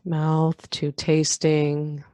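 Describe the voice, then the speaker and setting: A woman leading a guided meditation in a calm, unhurried manner, leaving long pauses between phrases. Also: deep voice, no echo. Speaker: meditation guide, yoga nidra teacher. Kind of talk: guided meditation, body scan.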